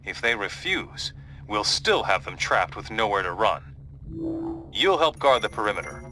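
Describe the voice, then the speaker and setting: A man playing a resolute superhero leader in a video game, giving a formal, measured briefing. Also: lowish voice, big pitch swings, over music. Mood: grave, resolute.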